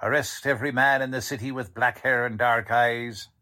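An actor playing a blunt, slangy, wisecracking police lieutenant in a 1940s style radio drama. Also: male voice, medium pitch, fairly even intonation, moderate pace, pushed and bright, close to an everyday voice. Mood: skeptical.

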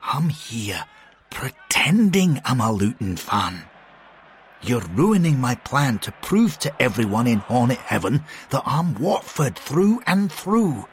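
A man's voice: mid-range voice, big pitch swings, slow, slight background noise, pitched above the performer's own voice. A man voicing a pompous, indignant male football club man who huffs and explains himself with exasperation. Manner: exasperated, huffy, insulting.

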